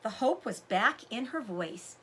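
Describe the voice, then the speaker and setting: A woman giving an expressive read-aloud narration of a children's novel. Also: on the high side, a little slow, slight background noise.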